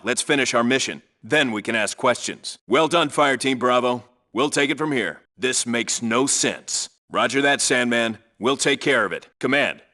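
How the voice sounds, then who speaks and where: A man's voice: mid-range voice, pushed and bright. A man playing a no-nonsense special forces team leader in a video game, reporting in a clipped, businesslike, commanding way. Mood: grim. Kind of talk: scripted radio call-outs, video game military acting.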